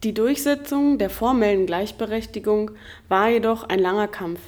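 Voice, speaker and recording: A woman reading scripted documentary narration, clear and even, with rhetorical questions. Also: mid-range voice, normal speed.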